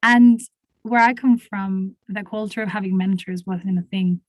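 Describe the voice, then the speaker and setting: Venezuelan woman w a cold talking on a video panel, pretty flat pitch, keen but stumbly, dry sound. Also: no echo, low-quality recording. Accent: Venezuelan Spanish. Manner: enthusiastic, halting with restarts. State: has a cold. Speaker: panel speaker, mentoring program lead. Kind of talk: online panel talk.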